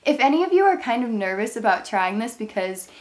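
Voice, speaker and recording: A woman's voice: on the high side, big pitch swings, fairly quick. A young woman in her twenties from Ohio, stuffed up and sniffly from a cold, chatting casually through a craft product review in her bedroom. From Ohio, USA. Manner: chatty, rambling, upbeat. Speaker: craft channel host. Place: bedroom, indoors.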